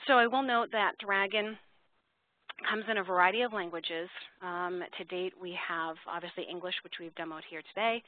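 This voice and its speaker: Over a narrow phone-like line with no room sound, a woman presents a webinar. Her voice sits fairly low. She explains things informally, answering questions and thinking aloud as she goes. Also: no echo. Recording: tinny, dry phone-line audio.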